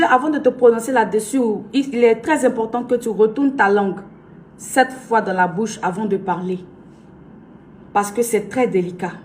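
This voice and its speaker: A woman's voice: on the high side, darkish tone, slight background noise. A young woman from Côte d'Ivoire with an Ivorian French accent, a blogger and influencer speaking earnestly and emphatically to her followers, repeating herself for weight. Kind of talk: direct-to-camera address to followers.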